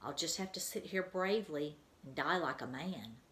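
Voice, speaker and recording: A woman's voice: low voice, animated, normal speed, a bit bright. A woman voicing a small, brave male mouse who sounds glum and worried, heard in an echoey room. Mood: gloomy.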